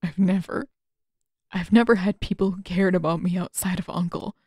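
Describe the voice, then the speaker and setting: A woman voicing an anguished, lonely teenage boy in an audio story, speaking in a halting, wounded way. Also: lowish voice, steady tone, dark tone, breathy edge, dry recording, studio quality. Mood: reluctant, sad.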